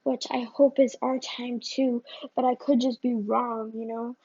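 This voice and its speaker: A woman's voice: a little high, level tone, measured pace, dark tone, breathy edge. A woman vlogger ranting to the camera in a rambling way, often tacking on "right?" and "you know?", recorded on a phone. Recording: slightly muffled phone recording.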